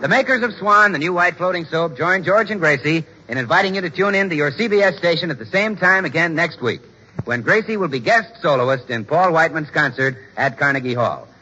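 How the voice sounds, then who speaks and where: A male 1940s radio announcer delivering a scripted pitch. His fairly high voice is very bright and forceful with a rough edge. The old recording is muffled and swims in reverb. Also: animated, a little fast, soft background music.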